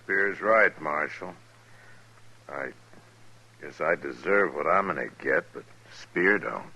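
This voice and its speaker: An actor playing a hard-drinking cavalry soldier in a 1950s radio western. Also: male voice, extremely low pitch, expressive, slow pace, pushed and bright, husky, exaggerated voice. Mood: sober, glum. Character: drunken, belligerent young cavalry soldier, later contrite. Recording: old radio recording.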